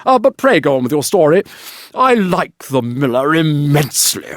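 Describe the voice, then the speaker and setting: A man's voice: a little high, very animated, moderate pace, a bit bright, no echo, clean studio sound. A man voicing a conceited, opinionated male water rat with a pompous know-it-all manner in a storybook. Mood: self-satisfied.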